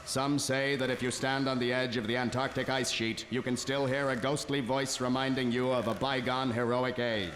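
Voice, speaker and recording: A man giving measured, solemn scripted narration and credits for a live radio comedy. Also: mid-range voice, little pitch movement, fairly bright tone. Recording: noisy live broadcast with crowd.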